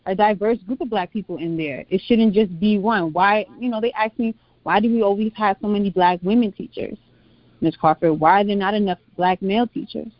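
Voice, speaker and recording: A woman's voice: mid-range voice, fairly quick, no room sound, highs cut off. A woman speaking as an interview guest and teacher, casual but earnest, stressing and repeating her points.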